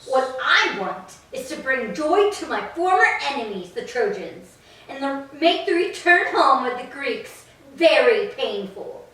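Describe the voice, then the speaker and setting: A woman playing a proud, vengeful goddess with formal, deliberate delivery in a staged reading of Greek tragedy. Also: high-pitched, big pitch swings, a bit bright, exaggerated voice. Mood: resentful. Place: echoing church interior. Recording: very echoey hall, distant mic.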